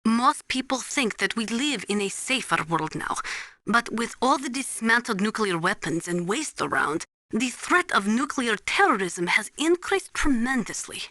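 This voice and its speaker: A woman's voice: on the high side, expressive, a little slow, bright, forceful tone, no echo, exaggerated voice. A woman voicing a serious, knowledgeable military analyst in a video game, briefing in a precise, formal, instructive way. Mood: grave, informative.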